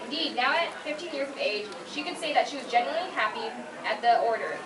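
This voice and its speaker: A woman's voice: high-pitched, expressive, fast talker, crowd noise. A young woman reading scripted fan fiction narration aloud at a convention panel. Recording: echoey noisy room, far from mic.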